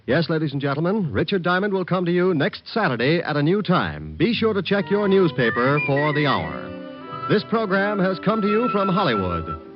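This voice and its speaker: A male old-time radio announcer with a fairly high voice and huge melodic swings. His delivery is formal and declamatory. Loud music plays behind him on a dull vintage broadcast. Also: big pitch swings, normal speed.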